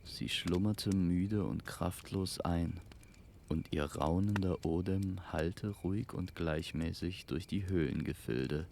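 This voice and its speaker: A man narrating a scripted fantasy story in a measured, lyrical storytelling manner. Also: low-pitched, creaky, darkish tone, a little hiss or hum.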